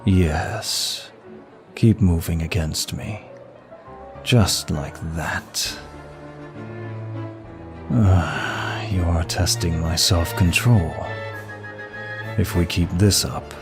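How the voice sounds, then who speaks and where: Casting: male actor for an ancient lust demon in ASMR roleplay, low register, unhurried, sultry and teasing. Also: over music, exaggerated voice. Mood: seductive, aroused. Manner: slow, sultry, lingering delivery. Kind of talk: intimate ASMR roleplay acting addressed to listener.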